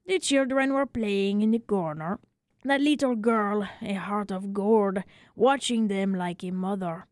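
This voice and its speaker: A woman playing an excitable, tender-hearted little French barber telling his tale in a dramatic, emphatic way for a radio story. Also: mid-range voice, measured pace, no echo, studio quality, lightly acted. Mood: sorrowful, admiring.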